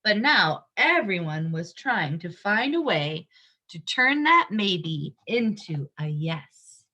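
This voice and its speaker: A woman reading a picture book aloud to young children, expressively, pausing to explain things simply. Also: lowish voice, big pitch swings, pushed and bright, highs cut off.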